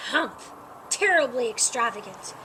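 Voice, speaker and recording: A woman voicing a grumpy, picky male bear from a storybook, with a fussy, formal and often loud manner. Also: on the high side, lively intonation, measured pace, background noise, voice pushed down. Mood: grumbling, huffy.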